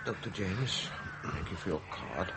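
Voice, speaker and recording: A bereaved professor in a radio drama, evasive and formally polite. His low voice is gravelly and his comes haltingly. The recording has clear background noise. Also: expressive. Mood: guarded, subdued. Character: grieving Norwegian professor, evasive, polite, frightened widower.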